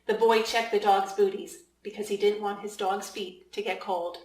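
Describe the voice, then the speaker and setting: A woman narrates a children's story in read-aloud style. Her voice sits at a middle pitch and she shapes phrases deliberately and expressively for young listeners. The room adds a clear echo around her. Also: steady tone, a little mellow. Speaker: children's author reading aloud. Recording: echoey room.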